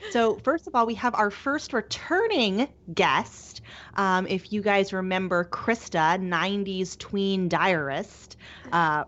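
A woman's voice: mid-range voice, takes it slowly, fairly bright tone. A woman in her thirties co-hosting a casual interview podcast, chatty and often restarting her thoughts. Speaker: podcast co-host. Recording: slightly dull lo-fi audio.

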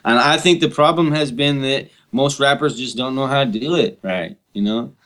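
A man's voice: medium pitch, normal speed, a bit bright. A man chatting casually as a podcast guest, with heavy slang and casual swearing. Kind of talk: casual chat.

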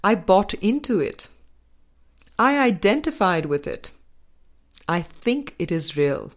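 A woman reading a spiritual book aloud as a scripted audiobook, in measured, deliberate short phrases. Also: mid-range voice, sing-song. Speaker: audiobook reader.

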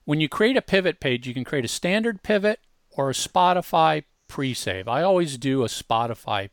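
A man co-hosting a casual software demonstration, talking informally and emphatically, straight to the listeners. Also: a little high, expressive, moderate pace, dry recording, clean studio sound. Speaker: co-host demonstrating an online tool.

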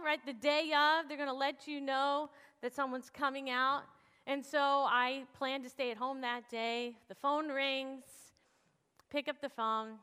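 A woman preaching a storytelling sermon in a church, animated, laughing often and acting out dialogue. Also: high-pitched. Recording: long reverb of a large hall.

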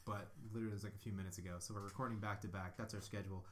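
A man's voice: lowish voice, level tone, rapid-fire, a little hiss or hum. A man co-hosting a podcast in casual chat, rambling and joking with lots of fillers. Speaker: podcast co-host.

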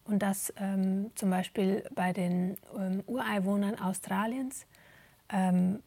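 Husky, creaky-voiced woman in conversation. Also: medium pitch, fairly even intonation, takes it slowly, dark tone. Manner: thoughtful, hesitant, searching for words. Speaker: interview guest, theatre performer. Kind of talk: conversational interview answers.

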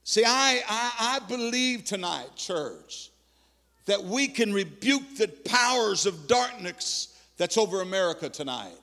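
A man preaching a fervent sermon to his congregation, his voice pitched very high and full of gravelly fry. He stammers, repeats himself and slips into sing-song cadences as he drives each point home. The sound rings with the long reverb of a church hall. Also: animated, measured pace, pushed and bright.

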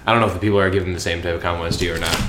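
A man co-hosting a casual sports podcast, relaxed and jokey, talking from inside a house. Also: low-pitched, rapid-fire. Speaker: podcast co-host. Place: house, indoors. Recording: echoey room with faint noise.

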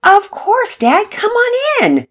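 A woman's voice: very high-pitched, wildly swooping pitch, takes it slowly, fairly bright tone, voice pushed up, heavily acted. A woman voicing an earnest, eager teenage boy who stammers when moved. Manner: enthusiastic, stammering.

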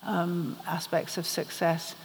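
A woman on a live conference panel, talking thoughtfully and often pausing to search for the right word. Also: on the low side, level tone, slight background noise. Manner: thoughtful, searching for words, many restarts. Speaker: podcast panel host. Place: conference hall, on stage. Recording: reverberant hall.

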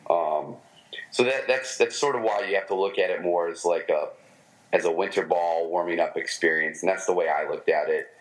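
A man with a Michigan American accent talks in a notably low voice. He is a former professional baseball player looking back on his career in a relaxed interview, and he often pauses, restarts and fills gaps with "um.". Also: low-quality recording. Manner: halting, many fillers and restarts. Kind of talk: casual interview storytelling.

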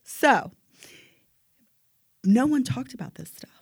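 A woman's voice: a little high, expressive, slow pace, vocal fry, slightly rough, dry recording, studio quality. A woman in her forties talking emphatically in a casual health chat, often tagging her points with "right?". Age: 40s. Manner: emphatic, keeps asking "right?".

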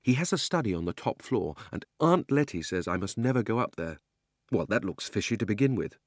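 A man acts out a young British schoolboy from a storybook. He is indignant and defiant, pushing his words out with wounded emphasis. His pitch moves a great deal, and the sound is close and dry. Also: animated, fairly quick, no room sound. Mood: secretive, gloomy.

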